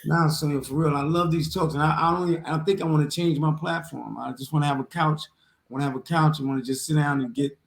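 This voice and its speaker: A middle-aged man talks in a fairly high, gravelly voice. He is emphatic and slangy, circling back on his phrases, in a roomy, echoing space. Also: steady tone, quick pace. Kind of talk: casual, streetwise chat. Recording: roomy echo with faint noise.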